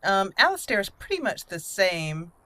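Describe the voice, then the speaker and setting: A woman giving casual, roleplay-minded let's play commentary, thinking aloud with hesitant pauses as she hosts. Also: mid-range voice, big pitch swings, pushed and bright. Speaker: gameplay host.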